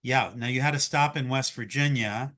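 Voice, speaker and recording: A man's voice: medium pitch, moderate pace, a bit bright. An American man from New York, hosting a conversational interview over a video call. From New York, United States. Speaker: host introducing a new team member.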